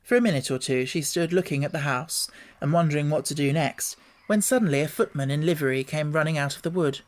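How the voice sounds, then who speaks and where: A man narrating a classic children's story in a measured reading voice. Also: on the high side. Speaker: audiobook reader.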